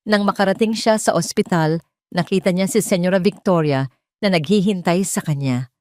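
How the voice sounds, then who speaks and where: A woman's voice: lowish voice, normal speed, darkish tone, no room sound, studio quality. A woman narrating a scripted, melodramatic love story. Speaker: audio drama narrator.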